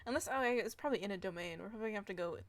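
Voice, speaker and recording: A woman's voice: mid-range voice, rapid-fire. A woman streaming a video game, talking casually in a chatty, rambling way and laughing often. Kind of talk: casual live game commentary. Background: game music and keyboard typing.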